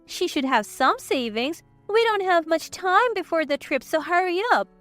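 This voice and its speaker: Female narrator as imperious older mother-in-law, scolding, high and swooping, audio story. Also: expressive, moderate pace, a bit bright, dry recording, soft background music. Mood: furious. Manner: imperious, reproachful. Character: haughty, scolding older mother-in-law, doting on her son.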